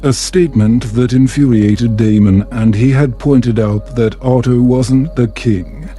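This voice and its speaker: Guy doing calm sleepy story narration, soft dark tone, medium pitch. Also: faint music behind. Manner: slow, measured, soothing. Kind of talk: scripted bedtime story narration, calming.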